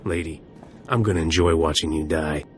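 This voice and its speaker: An actor playing a ruthless, sardonic smuggler captain in a video game, cocky and coldly threatening. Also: male voice, very deep voice, normal speed, soft background music. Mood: cold menace.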